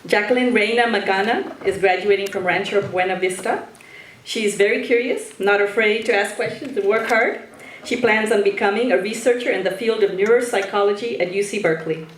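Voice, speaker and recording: A woman's voice: medium pitch, brisk. Woman presenting awards in an echoey hall. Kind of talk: semi-scripted announcing of scholars. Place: large hall with an audience. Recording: echoey hall, distant mic, faint noise.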